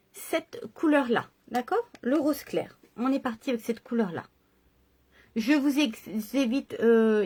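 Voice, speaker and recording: A woman's voice: fairly high pitch, darkish tone. A woman chatting through a makeup tutorial and explaining each step to her viewers. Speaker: makeup vlogger.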